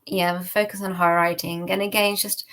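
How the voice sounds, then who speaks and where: Young female, British accent, slightly low voice, informal and chatty personal storytelling for an interview podcast. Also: level tone, quick pace, a bit bright. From United Kingdom. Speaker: podcast guest giving testimony.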